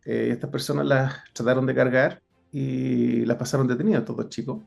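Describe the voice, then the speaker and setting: A middle-aged Chilean man speaks with a gravelly edge at an ordinary pitch for a man. He tells his account earnestly, restarting phrases and filling gaps with hesitations. Faint music sits behind him. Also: fairly quick, a little mellow. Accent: Chilean Spanish. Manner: frequent hesitations and self-corrections, earnest. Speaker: guest, former police investigator. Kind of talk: interview, personal account.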